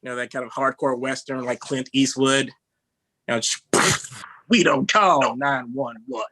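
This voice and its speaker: A young man from Texas doing a stand-up set, halting and filled with "uh" and "um". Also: mid-range voice, sharp tone, no echo. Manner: halting delivery with many fillers. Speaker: stand-up comedian.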